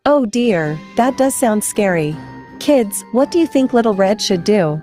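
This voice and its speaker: A woman's voice: medium pitch, expressive, unhurried, over music. A woman hosting story time for children, playful and chatty, putting questions to her young listeners.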